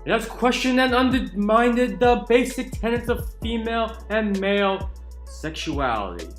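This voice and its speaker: Guy doing a dramatic book reading, highish voice, big pauses, echoey room w chill music behind. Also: lively intonation. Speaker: channel narrator reading a book aloud. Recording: roomy echo with music underneath. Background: soft chill music.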